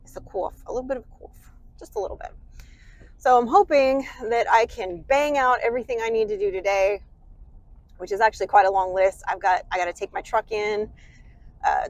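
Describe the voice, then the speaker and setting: A woman's voice: medium pitch, no echo. Congested American woman vlogging from her car. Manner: chatty, rambling, self-deprecating. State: sick and congested, coughing. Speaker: channel host. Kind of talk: casual vlog while driving.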